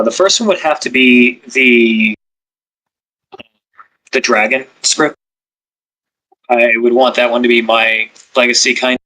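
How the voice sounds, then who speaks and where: A man's voice: medium pitch, bright, forceful tone, low-quality recording. A man who writes ASMR scripts, chatting casually on a podcast with many fillers and restarts.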